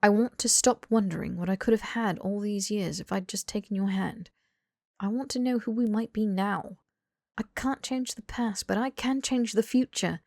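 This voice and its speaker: A woman's voice: mid-range voice, normal speed, darkish tone, no echo, clean studio sound, lightly acted. A woman voicing an earnest, blunt young British man in audiobook dialogue, emphatic and pleading. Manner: emphatic, sweary, rambling tirades.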